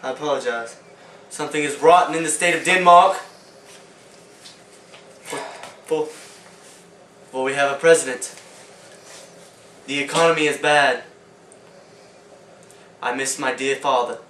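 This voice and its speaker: A man playing a gloomy, slow-talking prince. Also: medium pitch, fairly bright tone, natural voice. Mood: solemn. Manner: stilted old-fashioned speech. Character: gloomy young prince grieving his father. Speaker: talk show guest. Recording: echoey room, far from mic, faint hiss.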